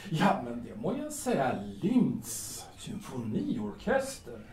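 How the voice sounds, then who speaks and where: An actor playing a bossy yet courteous café owner in a period audio drama, humming and hawing between phrases. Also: male voice, medium pitch, wildly swooping pitch, a crowd in the background. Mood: sympathetic, musing. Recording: echoey room.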